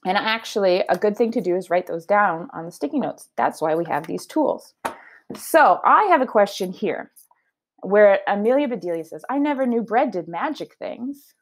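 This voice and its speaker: A woman teacher giving a children's read-aloud lesson, explaining her thinking in an expressive, conversational way. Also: medium pitch, moderate pace. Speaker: elementary teacher.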